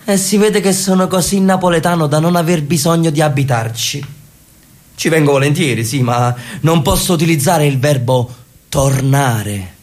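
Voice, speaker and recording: A man playing a lonely old brother from Naples in a radio play, grieving and wry. His voice runs a bit high for a man, his speech slow to warm and full of brooding reflection. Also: close to an everyday voice. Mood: reflective. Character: elderly Neapolitan brother, melancholic and solitary. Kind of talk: radio drama acting.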